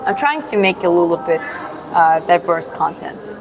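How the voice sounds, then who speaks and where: A woman from Georgia, hosting a casual livestream Q&A and answering chat in an unhurried, thoughtful way with many fillers and restarts. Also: fairly low pitch, lively intonation, low-quality recording. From Georgia (country). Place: public spot in Da Nang with other people around.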